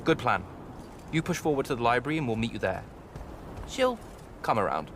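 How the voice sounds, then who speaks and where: Haughty, sarcastic battlemage, wildly swooping delivery. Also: male voice, mid-range voice, very animated, brisk, a bit bright, slight background noise. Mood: earnest. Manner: wordy, mannered, sardonic. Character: haughty, long-winded, sarcastic male battlemage. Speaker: video game voice actor. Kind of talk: game cutscene acting.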